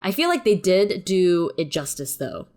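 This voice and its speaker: Female co-host, low-pitched voice, conversational and tentative, informal movie review. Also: very animated, moderate pace, a little mellow. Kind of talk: casual film review chat.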